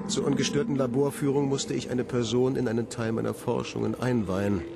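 A man playing a coldly clinical scientist who dictates a research report in formal, measured words in a radio drama. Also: mid-range voice, steady tone, creaky, very noisy, natural voice. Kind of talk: scripted monologue in a radio drama.

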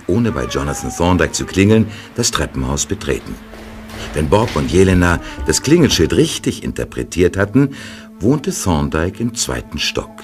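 Man, very deep voice, expressive narration, calm storyteller, music bed. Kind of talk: scripted audio drama narration.

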